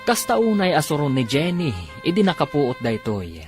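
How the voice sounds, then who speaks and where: A man's voice: a little high, big pitch swings, moderate pace. A man with a Filipino Ilocano accent delivering dramatic scripted narration as a radio drama announcer. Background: music.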